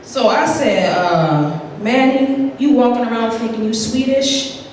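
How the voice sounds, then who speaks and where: A sassy Puerto Rican woman, played by an actress, spins a wry story, dropping low to mock a man's voice. Her pitch sits a touch high. The sound is distant and roomy, with a long hall reverb. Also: a little slow, slight background noise, lightly acted. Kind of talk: stage monologue.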